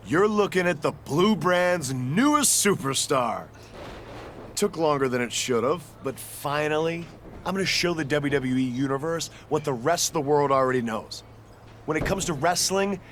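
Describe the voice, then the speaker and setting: An arrogant young male wrestler from a video game. He boasts in a pompous, dismissive way, his voice a little on the high side, with light background noise. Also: lively intonation, measured pace, a bit bright. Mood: smug. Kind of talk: video game cutscene dialogue.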